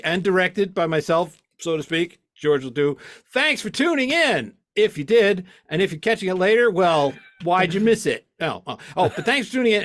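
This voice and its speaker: Guy announcer w a highish voice, rambly jokey sign-off, dry close sound. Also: a bit bright, no echo. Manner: joking, rambling, mock exasperation. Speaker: director, producer and announcer. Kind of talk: casual live show sign-off.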